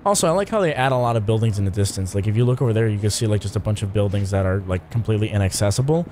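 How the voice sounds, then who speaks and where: A young man streaming a game, talking casually in a lowish voice with lots of fillers and the odd swear word. He sounds tired, and a faint hiss of noise sits behind him. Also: lively intonation, very fast, darkish tone. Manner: rambling, swears, frequent fillers. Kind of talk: casual gameplay commentary.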